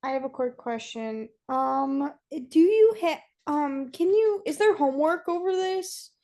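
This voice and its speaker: A woman's voice: high voice, animated, measured pace, mellow. A woman speaking casually and hesitantly as a student asking in class.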